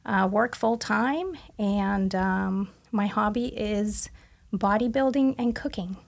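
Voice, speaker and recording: A woman in her forties, originally from Argentina and a native Spanish speaker, talks as a guest in a relaxed interview. Her voice sits at a middling pitch with a gravelly fry to it. She pauses often with ums and uhs. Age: 40s. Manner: halting, lots of ums and uhs, light laughs. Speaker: guest, patient. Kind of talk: casual interview chat.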